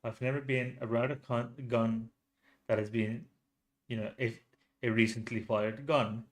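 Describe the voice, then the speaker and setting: A man with a Finnish accent, host of a true crime channel, talking casually with lots of restarts and pauses. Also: mid-range voice, fairly even intonation. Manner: hesitant, many restarts and fillers. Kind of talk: casual solo commentary.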